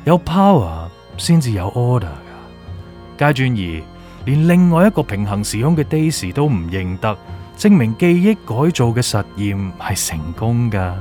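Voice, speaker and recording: An actor playing a gloating, manipulative villain in an audio drama, with a Hong Kong Cantonese accent and taunting, drawn-out delivery. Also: male voice, medium pitch, very animated, dark tone, faint music behind, natural voice. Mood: smug. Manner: theatrical, taunting, drawn-out emphasis, drops in English words. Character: gloating, manipulative villainous male official, mocking.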